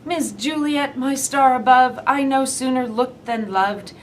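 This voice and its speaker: A woman's voice: high voice, a little slow. A woman on a school staff reading a children's story and its rhymes aloud. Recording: distant mic, faint room noise.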